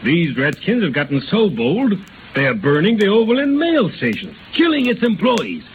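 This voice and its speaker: A man's voice: high voice, huge pitch swings, moderate pace. An actor playing a hot-headed, hawkish frontiersman in a declamatory 1930s radio style. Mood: vehement, alarmed. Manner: vehement, alarmist, declamatory. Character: hot-headed frontier friend of a general, hateful hawk. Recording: noisy, tinny 1930s radio recording.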